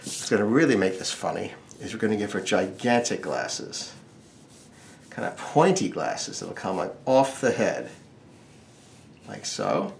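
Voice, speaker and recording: A man's voice: fairly low pitch, very animated, measured pace, vocal fry, a little hiss or hum. A man teaching a casual step-by-step drawing tutorial, with wry, joking asides. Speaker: drawing instructor.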